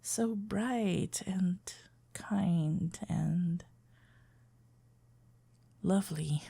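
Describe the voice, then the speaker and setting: A woman playing a shy, tender florist best friend in love, talking haltingly and awkwardly in an intimate ASMR roleplay. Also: lowish voice, creaky, warm tone, husky, full character voice. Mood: wistful, confessing. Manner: halting, stammering, awkwardly earnest. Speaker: audio roleplay performer.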